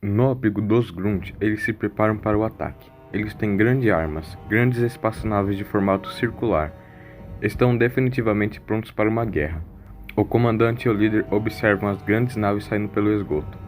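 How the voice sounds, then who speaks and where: A man's voice: lowish voice, normal speed. A man reading scripted narration for an audio drama in a storytelling style. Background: faint music.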